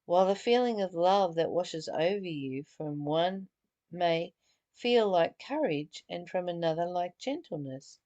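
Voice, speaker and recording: A woman leads a spiritual study, reading aloud and adding her own reflections. She speaks very slowly, in a lowish voice with a creaky edge. Her delivery is earnest and a little halting, with phrases repeated as she goes. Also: mellow, muffled recording. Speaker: spiritual teacher leading a book study. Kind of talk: reading aloud with spoken commentary.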